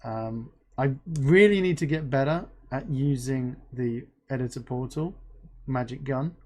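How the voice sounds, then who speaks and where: A livestream host chatting playfully with a guest and reading chat aloud, bubbly and expressive. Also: mid-range voice, unhurried. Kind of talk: live-stream interview and chat reading.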